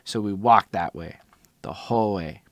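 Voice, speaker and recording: A man's voice: fairly low pitch, a little slow, fairly bright tone, no room sound. A man chatting casually on a podcast, telling stories in a rambling, slangy way with some swearing. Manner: rambling, slangy storytelling with profanity. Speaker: podcast speaker. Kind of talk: casual chat.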